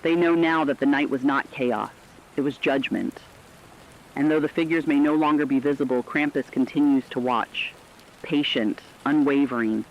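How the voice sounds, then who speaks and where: A man playing a solemn radio reporter, delivering measured, portentous scripted narration in a radio drama. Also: mid-range voice, lo-fi sound, lightly acted. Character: solemn male radio reporter warning of ancient judgment.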